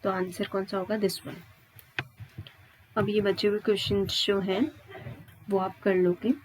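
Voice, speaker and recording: Indian woman teaching, slow-paced, noisy background. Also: mid-range voice, flat delivery. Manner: instructional, checks understanding with frequent questions and tags. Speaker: English grammar teacher. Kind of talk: exam-prep lesson, explaining step by step.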